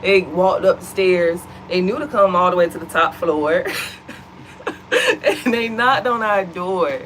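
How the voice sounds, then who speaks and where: A woman's voice: fairly low pitch, unhurried, a bit bright, slight background noise. An American woman co-hosting a home vlog, chatting animatedly and addressing the viewers as y'all. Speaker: vlog co-host. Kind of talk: casual chat to viewers. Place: at home, seated.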